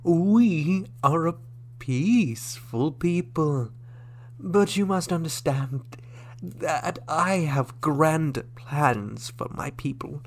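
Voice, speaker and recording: A man playing a scheming, coolly menacing alien ambassador in a sci-fi radio drama, formal, deliberate and insinuating. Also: medium pitch, a little mellow. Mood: grandly insistent. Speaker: voice actor.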